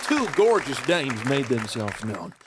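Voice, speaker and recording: Animated, sly Old West outlaw, acted by a man. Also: mid-range voice, unhurried, over a noisy crowd, very noisy. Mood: sly, confident. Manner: folksy, conversational, teasing. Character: sly, cocky Old West outlaw narrating his own tale. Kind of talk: first-person storytelling narration in audio drama. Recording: loud music under the voice.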